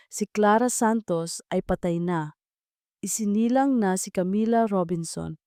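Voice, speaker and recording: A Filipino woman narrates a dramatic love story from a script. Her voice is soft-edged and mellow, and the sound is very dry, with hardly any room around it. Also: mid-range voice, no room sound.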